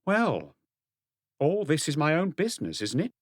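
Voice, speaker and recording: A wary, curt woman, put on by a male reader in a detective audiobook. The pitch is lifted well up, the manner defensive and dismissive, and the sound dry. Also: huge pitch swings, moderate pace, no echo.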